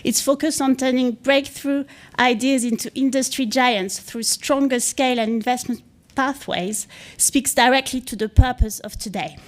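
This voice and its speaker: A woman giving measured, scripted opening remarks as the host of a conference. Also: sits high. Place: conference venue.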